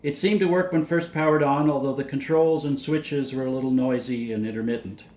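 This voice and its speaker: A man narrating a scripted technical explanation in a measured, matter-of-fact way, as a hobbyist presenter. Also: mid-range voice, steady tone, a little mellow. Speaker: vintage radio hobbyist and presenter.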